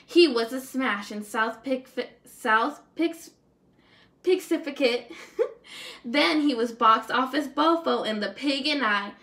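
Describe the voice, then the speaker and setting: High-voiced young woman reading a storybook. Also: slow. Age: young adult. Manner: expressive, with stumbles over words. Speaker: teacher reading aloud to first graders. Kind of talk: storybook read-aloud. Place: indoors, small room at home.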